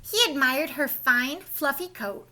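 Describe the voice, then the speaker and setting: Female storyteller for young kids, high-pitched and bright, expressive read-aloud delivery. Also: unhurried. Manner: expressive, explains to young listeners. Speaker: storybook reader, school staff.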